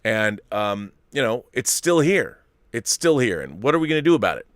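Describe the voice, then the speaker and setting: A man's voice: medium pitch, very animated, normal speed, no room sound, studio quality. A middle-aged man hosting a live stream, reading viewer messages and rambling through opinions with many restarts. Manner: rambling, self-interrupting, opinionated. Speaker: host reading viewer super chats. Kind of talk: casual live-stream commentary.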